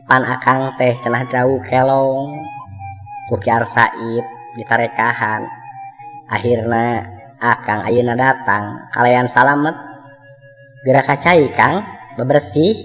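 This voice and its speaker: A man's voice: mid-range voice, fairly even intonation, slow, pauses often, fairly bright tone, pitched above the performer's own voice. A man voicing a devoted, relieved village wife with a Sundanese accent in a folk mystery tale. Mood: relieved, fond. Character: relieved, devoted village wife welcoming her husband home. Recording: long reverb, music behind.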